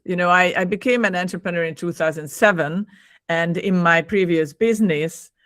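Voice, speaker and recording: A woman's voice: lowish voice, normal speed, a bit bright, no room sound. Woman, Hungarian accent, native Hungarian speaker, podcast guest, enthusiastic, laughs often, dry close sound. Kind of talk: casual conversation.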